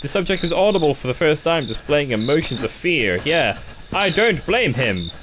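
Horror game commentator, mid-pitched, very animated, jumpy, sarcastic, noisy night ambience with insects behind. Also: normal speed. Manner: jumpy, sarcastic, gasps and cries out when startled. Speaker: gaming YouTuber. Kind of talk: horror game playthrough commentary, reading on-screen text aloud.

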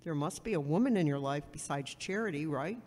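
A woman's voice: sits low, lively intonation, fairly quick, a little mellow. A woman acting a blunt, haughty woman who gives sardonic advice in an amateur audio drama. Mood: scornful. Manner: brusque, sardonic, superior. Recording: echoey hall with long reverb.

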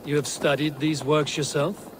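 An actor playing a loyal, determined young Norse raider in a video game, speaking tersely and solemnly. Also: male voice, a little high, level tone, moderate pace, noisy background, natural voice. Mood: curious. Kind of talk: dramatic video game acting.

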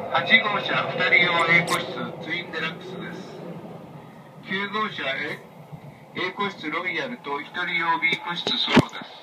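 A man working as a train conductor makes a formal, polite announcement over the onboard public-address system. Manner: formal, polite, reading out stops and times. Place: inside a moving sleeper train, over loudspeaker. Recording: distant, noisy train PA captured in carriage. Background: train rumble and noise.

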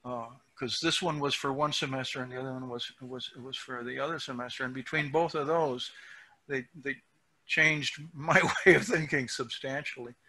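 An older man talking on a video call through a headset, reflective, his voice trailing off quietly at the ends of thoughts. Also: mid-range voice, steady tone, moderate pace, low-quality recording. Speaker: film subject, interviewee. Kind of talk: casual video call discussion. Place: small room at home.

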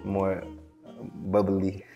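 Young man, low monotone, soft and hesitant interview guest, faint music under. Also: dull audio. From Morocco, raised in Seattle. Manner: halting, many fillers and restarts, soft-spoken. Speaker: guest, performing artist. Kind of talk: casual interview answers. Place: dark studio set.

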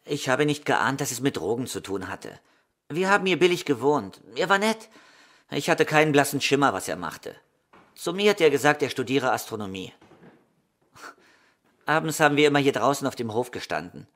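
Polite, mid-pitched young man. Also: big pitch swings, normal speed, fairly bright tone. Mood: earnest. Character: polite, open young man, burdened and ingenuous. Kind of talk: crime radio play dialogue.

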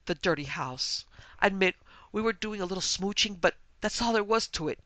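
A man's voice: sits high, lively intonation, fairly quick, bright, forceful tone, no echo, pitched above the performer's own voice. A man voicing a sobbing, agitated, indignant married woman at a party in a dramatic story reading. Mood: tearful indignation. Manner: weeping, breathless, agitated.

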